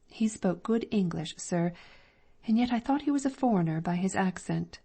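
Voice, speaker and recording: A woman's voice: mid-range voice, expressive, normal speed, warm tone, slightly rough. A woman voicing a deferential Victorian landlady in an audiobook, answering politely. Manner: polite and deferential, calling him sir. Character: anxious Victorian London landlady, middle-aged. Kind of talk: audiobook dramatic reading.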